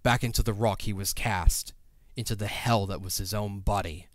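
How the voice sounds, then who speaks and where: A man's voice: on the low side, fairly even intonation, moderate pace, dry recording, studio quality. A man reading scripted, dramatic fantasy narration as the narrator of an audio drama. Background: background music.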